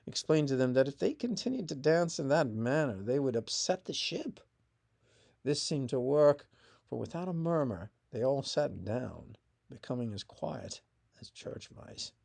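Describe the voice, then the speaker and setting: A man's voice: on the high side, creaky, mellow. Man reading his own historical essay aloud. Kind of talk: scripted historical narration.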